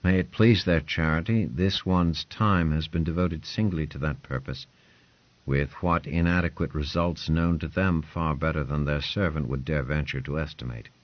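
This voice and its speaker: A timid young man speaks softly and formally, his voice very low and subdued, as a man reads him. The recording is dry and slightly muffled. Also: steady tone, moderate pace, no room sound. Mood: deferential. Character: nervous young man, humble and deferential.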